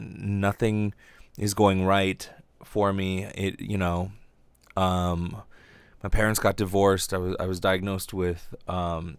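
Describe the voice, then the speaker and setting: A man with a low voice talks off the cuff on his podcast, recorded dry with very little room sound. He rambles casually, doubling back on his words in stammered false starts, and swears freely. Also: clean tone, no room sound. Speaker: podcast host and aspiring voice actor.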